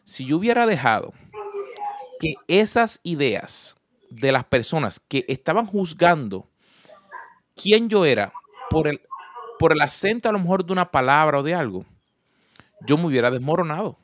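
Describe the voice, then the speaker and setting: A man's voice: fairly high pitch, big pitch swings, normal speed, long pauses, dry recording, studio quality. A man with a Puerto Rican Spanish accent, telling personal stories in an earnest, reflective conversation. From Puerto Rico. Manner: earnest, storytelling with personal examples.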